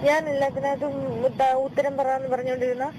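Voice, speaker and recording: A woman's voice: high voice, level tone, fast. A woman arguing insistently on a phone call, repeating her phrases in a pleading, complaining way. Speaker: caller. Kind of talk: tense, argumentative phone conversation. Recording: noisy phone call recording, vehicle sounds.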